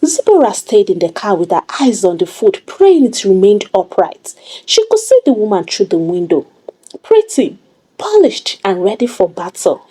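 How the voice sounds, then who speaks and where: Expressive woman storyteller, mellow and swooping. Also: mid-range voice, moderate pace, slightly rough. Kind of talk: dramatic scripted folktale narration.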